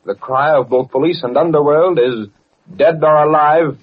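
A man's voice: on the high side, normal speed, a little hiss or hum. A man narrating a vintage radio serial as announcer, in a dramatic, declamatory and portentous scripted style. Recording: dull, muffled old radio recording.

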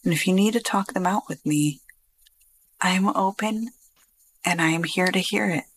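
A woman co-hosting a podcast, chatting casually in a relaxed, self-assured way. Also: fairly low pitch, normal speed, husky, low-quality recording. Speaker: podcast co-host. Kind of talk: casual chat.

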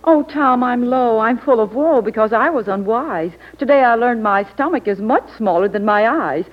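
A woman's voice: on the high side, animated, moderate pace, muffled recording, close to an everyday voice. A woman playing a cute young radio actress who complains in singsong rhyme, with an American accent, in an old 1940s radio ad. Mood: playfully woeful. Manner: singsong rhyming delivery.

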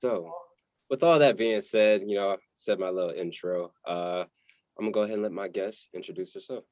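A man's voice: on the low side, a little fast, darkish tone, no echo. A man hosting a podcast interview, laid-back and slangy. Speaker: podcast host. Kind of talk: casual interview chat.

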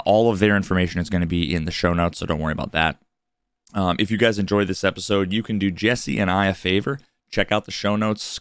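A man with a hoarse voice, very deep and smooth underneath, talks through a recap in an easygoing way, pausing often on "uh" and "um". The recording is dry and close, with no room sound. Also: no room sound. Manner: laid-back, many "uh" fillers. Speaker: podcast co-host. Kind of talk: solo intro recap.

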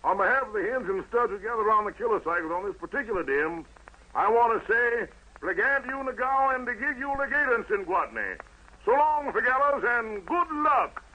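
A man's voice: high-pitched, very animated, brisk, muffled recording, a little hiss or hum. A man hosting a 1940s armed forces radio show in theatrical hip slang patter, on a vintage broadcast recording.

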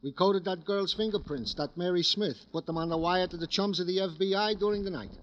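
An actor playing an eager police sergeant sidekick in an old radio drama, talking in flowery, roundabout mock-formal phrases. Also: male voice, sits high, a little fast, a bit bright, a little hiss or hum. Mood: proud, verbose. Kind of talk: 1950s radio drama comic-relief acting. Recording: dull vintage radio recording.

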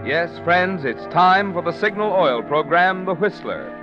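A man's voice: animated, a little slow. Salesy old-time radio announcer, man. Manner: booming, salesy. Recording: dull old radio recording with music.

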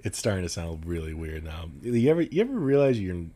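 A young man co-hosting a podcast, talking loudly and rambling with frequent laughter. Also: sits low, very animated, brisk. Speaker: podcast co-host. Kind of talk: casual podcast chat.

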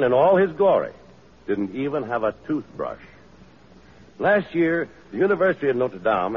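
A man's voice: medium pitch, husky, muffled recording. A man announcing in a scripted, emphatic old-time radio style on a vintage broadcast recording. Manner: declamatory, emphatic delivery. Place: auditorium stage.

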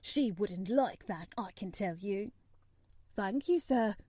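A woman's voice: medium pitch, expressive, slow pace, mellow, no echo. Woman as a humble housemaid. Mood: anxious, deferential. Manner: deferential, dialect-speaking. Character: humble, anxious young Yorkshire housemaid.

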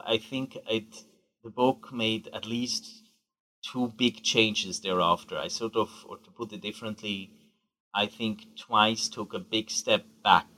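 A middle-aged man from Switzerland speaks as a guest author on a podcast. His voice sits low, yet its tone is bright. He fills his answers with hesitations and small hedges. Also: poor audio. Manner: hesitant, many fillers and hedges. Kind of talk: podcast interview.

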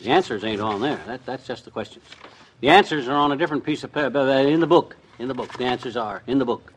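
An actor playing a boastful, scheming middle-aged husband in a vintage radio comedy, folksy and needling. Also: male voice, medium pitch, fast talker, a little hiss or hum, lightly acted. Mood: challenging. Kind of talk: 1950s radio comedy acting.

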